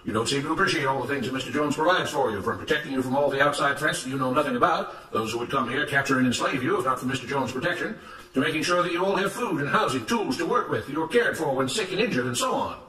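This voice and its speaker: A man voicing a smooth-talking, self-righteous plantation overseer in a storybook, speaking in a declamatory, emphatic way. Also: mid-range voice, quick pace, sharp tone, echoey room, recorded from a distance, pitched above the performer's own voice, close to an everyday voice, rough recording. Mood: indignant, lecturing. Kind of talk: dramatised storybook narration.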